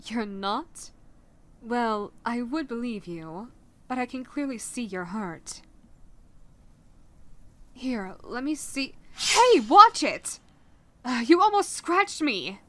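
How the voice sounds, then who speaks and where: A woman's voice: on the high side, big pitch swings, slow pace, long pauses, pushed and bright, exaggerated voice. A woman voicing a caring, teasing, motherly vampire woman in a soothing roleplay audio, coaxing and speaking directly to the listener. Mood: startled, cautious. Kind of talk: roleplay audio acting, ASMR-like.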